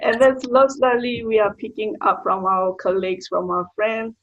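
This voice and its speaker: A woman's voice: mid-range voice, fairly even intonation, normal speed, muffled recording. A young woman from Malaysia with a Malaysian accent, Mandarin her first language, chatting plainly as an interview guest about her work with children. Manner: plain, chatty, with short restarts. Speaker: guest, early childhood educator. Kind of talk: casual interview answers.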